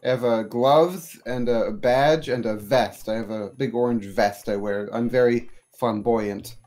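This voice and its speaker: A man's voice: medium pitch, a little slow. A man co-hosting a casual podcast, goofy and playful, joking around. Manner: goofy, lively, playful jokes. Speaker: podcast co-host.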